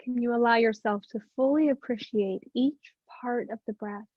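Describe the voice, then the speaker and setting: High-voiced woman guiding a meditation. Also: no echo, highs cut off. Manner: gentle, unhurried with long pauses. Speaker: meditation and yoga teacher. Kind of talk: guided meditation, soothing instruction.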